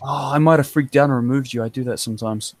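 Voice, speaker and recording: A man's voice: mid-range voice, fast talker. A man born in England and raised in New Zealand, with a mixed English and New Zealand accent, chatting casually on a call and laughing at himself. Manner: self-deprecating, laughs often. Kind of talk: casual group chat.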